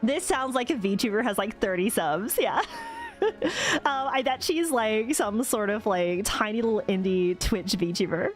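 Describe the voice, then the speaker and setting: Young streamer girl doing american valley girl accent, super lively pitch, chatty, faint music behind. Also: measured pace. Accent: put-on American. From Australia. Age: young adult. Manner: Valley-girl delivery, full of "like". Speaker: VTuber streamer. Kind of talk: casual livestream chat.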